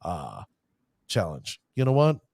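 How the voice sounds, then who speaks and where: A man hosting a casual podcast, playfully giving shout-outs to players and stumbling over their names. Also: deep voice, wildly swooping pitch, takes it slowly, gravelly, background noise. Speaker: podcast host.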